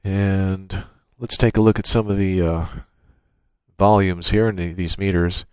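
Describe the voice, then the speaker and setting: Low-pitched man giving a software tutorial. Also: level tone, measured pace, smooth, no room sound. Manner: matter-of-fact, step-by-step explaining. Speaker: tutorial presenter. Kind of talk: unscripted software tutorial narration. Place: indoors at a computer, screen-recording voice-over.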